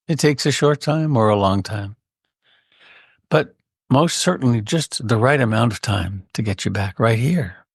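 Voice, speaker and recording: Creaky-voiced man guiding a meditation. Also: medium pitch, no echo, clean studio sound. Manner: gentle, unhurried, with long pauses. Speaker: meditation teacher. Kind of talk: guided meditation.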